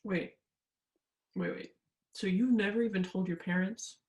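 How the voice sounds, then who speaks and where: A woman's voice: mid-range voice, steady tone, unhurried, darkish tone, natural voice. A young woman playing an insistent, haunted student searching for the truth in a staged reading, earnest and full of pressing questions. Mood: urgent, probing. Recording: roomy, echoing sound.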